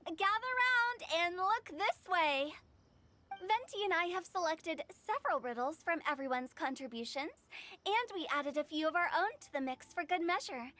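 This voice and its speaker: Playful young game festival hostess, high-pitched, addressing a group, faint noise behind. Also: female voice, pushed and bright, full character voice. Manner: announcing to a gathered group. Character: lively young female festival host, playful, announcing rules. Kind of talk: video game cutscene voice acting.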